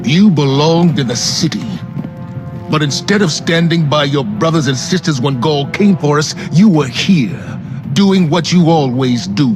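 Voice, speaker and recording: An actor playing a stern, commanding military leader in a video game cutscene, speaking with grave authority. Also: male voice, fairly high pitch, animated, measured pace, over music. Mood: stern, reproachful.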